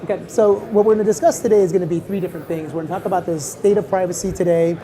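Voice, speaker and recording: A woman moderating a live panel discussion, talking quickly and casually with fillers. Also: on the low side, steady tone, a crowd in the background.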